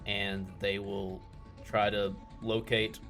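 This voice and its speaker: A man's voice: fairly low pitch, little pitch movement, slow pace, fairly bright tone. A man playing a tabletop roleplaying game, chatting casually and hesitantly as he thinks aloud. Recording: music playing faintly behind.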